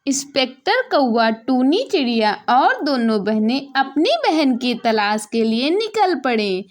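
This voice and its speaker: A woman tells a children's story as a scripted narrator. Her voice is fairly high and very animated in pitch, with slow, dramatic, expressive phrasing.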